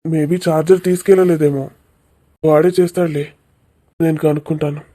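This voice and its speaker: Woman playing a earnest young cartoon village man, low pitch, dark soft tone, mild and plain. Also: fairly even intonation, moderate pace. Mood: puzzled, worried. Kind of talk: village comedy cartoon dialogue.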